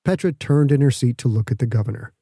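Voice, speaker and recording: A man's voice: medium pitch, fast, mellow, slightly rough, no room sound. A man reading scripted fiction as an audiobook narrator in a studio. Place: dry studio.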